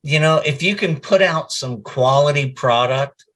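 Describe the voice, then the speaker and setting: A man's voice: fairly high pitch, measured pace, background noise. A man speaking as a voice actor being interviewed, chatting casually and telling stories with quoted bits of conversation.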